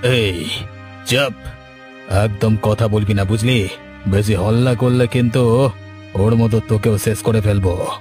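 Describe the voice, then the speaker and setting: Man playing a mellow-toned menacing village thug. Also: on the low side, fairly even intonation, measured pace, pauses often, faint music behind, lightly acted. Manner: threatening, commanding. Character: menacing village thug and killer, bullying and smug. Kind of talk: cartoon villain acting.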